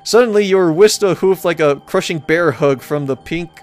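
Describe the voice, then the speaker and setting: A man's voice: fairly high pitch, moderate pace, soft background music. A man hosting a let's play, reading a visual novel aloud and reacting casually as he goes.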